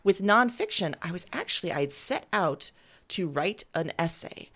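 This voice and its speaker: A woman with a low voice speaks as an author being interviewed. She talks casually and conversationally, piecing her thoughts together in short phrases as she explains herself. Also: expressive, moderate pace, a bit bright.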